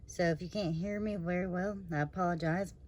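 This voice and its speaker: Slightly hoarse woman chatting about candles. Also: lowish voice, steady tone, brisk, darkish tone. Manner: rambling, chatty, with fillers and trailing asides. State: slightly hoarse after surgery. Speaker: candle review channel host. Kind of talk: casual product review vlog.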